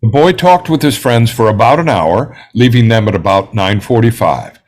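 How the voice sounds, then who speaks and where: A man playing a composed, self-assured juror in a script table read, speaking in a measured, precise way. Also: medium pitch, animated, a little mellow, close to an everyday voice. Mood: confident, methodical.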